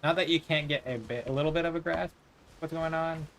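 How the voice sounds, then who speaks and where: A man's voice: fairly high pitch, fairly even intonation, a little fast, fairly bright tone, a little hiss or hum. A man livestreaming a video game, talking in a casual, rambling way. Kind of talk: casual rambling stream chat. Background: game music.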